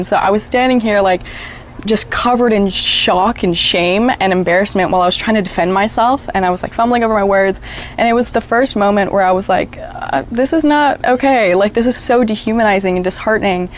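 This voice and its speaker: A woman's voice: mid-range voice, a little fast, a little hiss or hum. A young woman whose first language is Japanese, talking reflectively in an outdoor documentary interview on a lapel mic. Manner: reflective, many fillers. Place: outdoors by a wooden wall.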